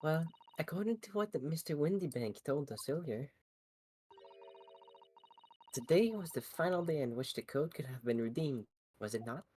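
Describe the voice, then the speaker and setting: A man voicing a polite, earnest young assistant in a mystery game, speaking formally and in a measured way. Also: on the high side, lively intonation, warm tone, slight background noise, close to an everyday voice. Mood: troubled, polite.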